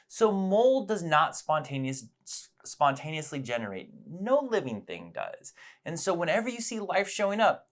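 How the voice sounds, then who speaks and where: Man teaching science with very lively intonation. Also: fairly high pitch. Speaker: science teacher presenting story time. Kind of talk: educational storytelling explanation.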